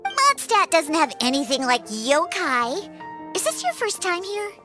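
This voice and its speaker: A woman's voice: extremely high pitch, huge pitch swings, unhurried, music in the background, exaggerated voice. A woman voicing a young cat-eared girl in a video game, childlike and earnest with a slightly huffy manner. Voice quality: childlike, girlish. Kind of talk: video game character dialogue.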